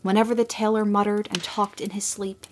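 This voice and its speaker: A woman narrating a storybook audio drama in a gentle, measured way. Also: medium pitch, level tone, a little hiss or hum.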